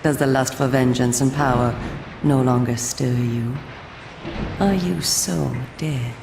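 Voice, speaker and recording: An actress voicing a solemn, coolly persuasive female vampire resistance agent in a video game, speaking in a formal, measured, theatrical way. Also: extremely low pitch, creaky, reverberant, background noise, exaggerated voice. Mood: goading. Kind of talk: scripted video game cutscene acting.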